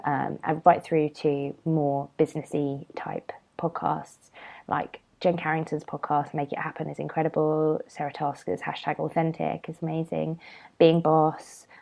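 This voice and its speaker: A woman's voice: deep voice, gravelly, warm tone. A young British woman chatting casually and informally as a solo podcast host, rambling a little, nasal and congested with a cold. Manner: rambling, informal, self-deprecating.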